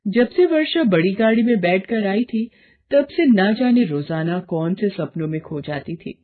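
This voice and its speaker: A woman's voice: mid-range voice, expressive, a little slow. Woman narrator, narrow phone-like sound. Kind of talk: scripted story narration.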